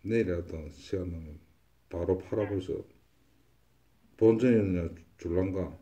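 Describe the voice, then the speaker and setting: A man's voice: low voice, measured pace, warm tone. A man giving a fortune-telling consultation, stating predictions confidently and repeating himself. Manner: confident, repetitive pronouncements. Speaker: fortune teller.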